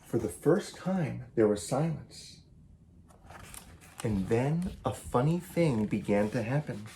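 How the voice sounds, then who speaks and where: A man, a children's author, reading a picture book aloud in an animated, theatrical and emphatic way. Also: medium pitch, slow, noisy background. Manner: theatrical, emphatic, with varied delivery.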